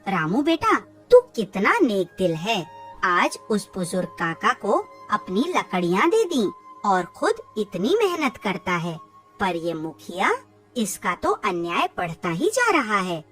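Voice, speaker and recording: A woman playing a poor, loving, worried mother in a cartoon, tender, earnest and expressive. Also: mid-range voice, slow, distant mic, faint music behind. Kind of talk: cartoon moral-tale dialogue.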